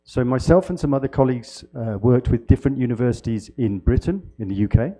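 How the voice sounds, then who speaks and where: A man from the United Kingdom giving a university lecture as a guest speaker, with a loud voice and a friendly, halting delivery full of fillers and restarts. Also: medium pitch, animated. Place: lecture hall. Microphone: handheld mic.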